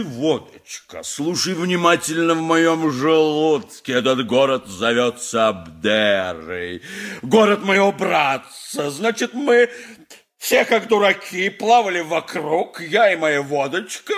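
A menacing, drunken rogue of a sea captain in a radio drama. The delivery is slow and wildly swooping, in a raspy, forceful voice with theatrical relish. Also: male voice, a little high, sing-song, heavily acted. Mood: gleeful, scheming. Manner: theatrical, swooping, talks to his vodka. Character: boozy, roguish, menacing old-style sea captain. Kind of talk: 1980s radio play acting.

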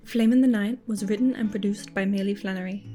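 Female announcer for scripted end credits, medium pitch, clear guitar music underneath. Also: fairly even intonation, brisk.

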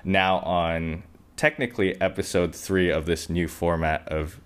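A man's voice: sits low, flat delivery, a little slow, clear, resonant tone. A young man from Hong Kong hosting a casual podcast interview, chatty and upbeat, laughing often. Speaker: podcast host.

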